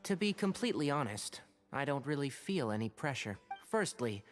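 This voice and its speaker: Game casting: sensible, candid young male scholar, played by a man, mid pitch, very varied intonation, soft music behind. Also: sing-song, moderate pace, natural voice. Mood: calm, frank. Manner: matter-of-fact, articulate. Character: level-headed, frank young male researcher, mildly exasperated. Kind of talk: scripted video game dialogue.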